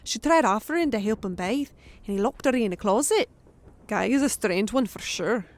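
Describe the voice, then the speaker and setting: A woman's voice: on the high side, expressive, moderate pace. A woman voicing a blunt, teasing barmaid who gossips in a fantasy audiobook. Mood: gossipy, skeptical. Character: sassy gossiping female tavern barmaid. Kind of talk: audiobook character acting.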